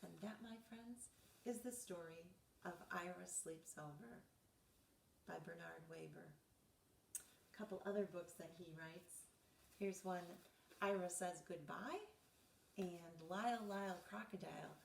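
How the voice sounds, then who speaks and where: A woman reading a children's bedtime story aloud in an expressive, dramatizing way. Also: fairly low pitch, unhurried, creaky, long pauses, a little hiss or hum. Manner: animated, dramatizing dialogue for children. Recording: roomy echo, distant mic.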